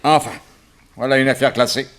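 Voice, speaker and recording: A man's voice: mid-range voice, steady tone, brisk, fairly bright tone, natural voice. A man playing a bullying, impatient police chief who barks orders in a French radio drama. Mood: gruff. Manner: barking orders, blustering.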